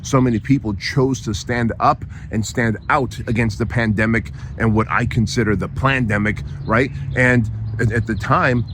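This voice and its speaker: A hoarse man hosting a podcast, delivering an emphatic motivational monologue and often checking in with "right?". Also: medium pitch, steady tone, normal speed, a bit bright, slight background noise. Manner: emphatic, repeats phrases, frequent tag "right?". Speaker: podcast host.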